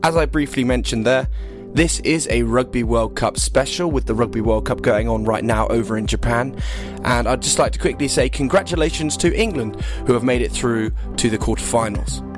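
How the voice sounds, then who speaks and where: Young male podcast host, mid-pitched voice. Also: normal speed, music in the background. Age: young adult. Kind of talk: podcast introduction and interview.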